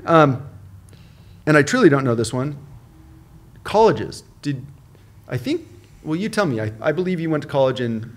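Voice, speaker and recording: A man's voice: on the high side, big pitch swings. Chatty, upbeat man hosting a podcast. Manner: upbeat, chatty, quick to joke. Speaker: podcast host. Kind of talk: casual interview chat.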